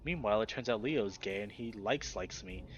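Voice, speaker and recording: A man reading a visual novel aloud and commenting casually as a video host, expressive when he reacts. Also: fairly low pitch, moderate pace, a little hiss or hum. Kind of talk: casual let's play commentary and narration. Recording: slightly dull recording with background music. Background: game music.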